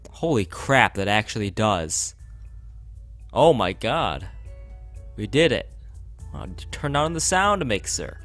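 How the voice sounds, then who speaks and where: A man's voice: lowish voice, big pitch swings, slow pace, long pauses, fairly bright tone. A man streaming a visual novel, chatting casually and reading the text aloud, sometimes stumbling over and repeating phrases. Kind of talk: casual game commentary and read-aloud of on-screen text. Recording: music playing behind the voice. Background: game music.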